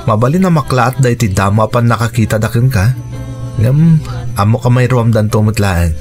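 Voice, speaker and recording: A man's voice: mid-range voice, lively intonation, normal speed, darkish tone, faint music behind, natural voice. A man playing an earnest, reassuring widowed father who is courting, in a radio drama. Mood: earnest, tender. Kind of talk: Ilocano radio drama acting.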